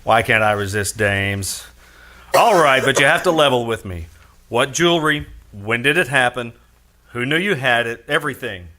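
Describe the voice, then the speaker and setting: World-weary detective, medium pitch, sharp forceful tone, wry, clipped, hard-boiled radio drama. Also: male voice, animated, moderate pace. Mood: brisk, probing. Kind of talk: old-time radio detective drama acting.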